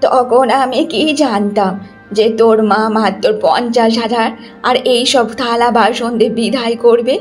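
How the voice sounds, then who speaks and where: A woman's voice: fairly high pitch, fairly even intonation, normal speed, soft background music, close to an everyday voice. Woman acting a harsh, domineering Bengali-cartoon mother-in-law. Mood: accusing. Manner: scolding, domineering, contemptuous. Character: harsh, greedy middle-aged mother-in-law demanding dowry. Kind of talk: cartoon moral story acting.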